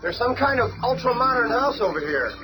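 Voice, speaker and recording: A man's voice: very high-pitched, animated, a little fast, poor audio. An actor playing a cocky, eager young male superhero in a 1970s radio drama. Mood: excited. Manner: eager, exclamatory. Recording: 1970s radio show with music behind.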